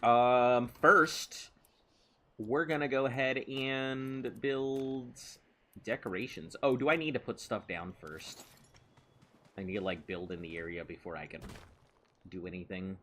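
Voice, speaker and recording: A man's voice: mid-range voice, measured pace, a bit bright. A man giving casual, playful commentary while playing a video game, rambling and chatting with someone in the room beside him. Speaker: let's play host.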